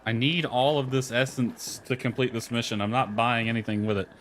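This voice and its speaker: A man plays a video game and comments casually as he goes. His voice sits at an average male pitch. He mutters and grumbles to himself, with clear noise behind him. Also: fairly even intonation, fairly quick, fairly bright tone.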